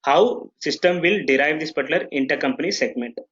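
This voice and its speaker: A man running a software training session, explaining steps and checking in with frequent "okay"s and restarts. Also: a little high, fast, muffled recording, slight background noise. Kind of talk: live online training session.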